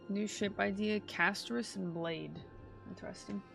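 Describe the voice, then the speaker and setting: A woman with a creaky voice giving casual, playful commentary as she plays a story game. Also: medium pitch, fairly even intonation, unhurried. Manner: playful, sings nonsense syllables to herself. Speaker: streamer playing a story game. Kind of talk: casual gameplay commentary, reading dialogue aloud. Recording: game music behind the voice. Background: video game music.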